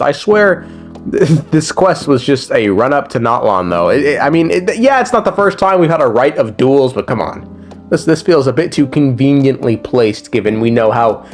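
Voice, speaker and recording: Young man, animated delivery, slightly high pitch, slangy, profane, joking, casual gaming recap, music behind. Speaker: gaming video commentator. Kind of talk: casual recap commentary with reactions.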